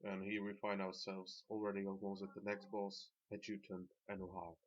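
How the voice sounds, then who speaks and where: A man with a Slovak accent giving halting, plain-spoken, unscripted commentary as he guides viewers through a video game. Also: low voice, monotone. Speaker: gamer presenting a dungeon tanking guide. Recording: dull lo-fi voice track.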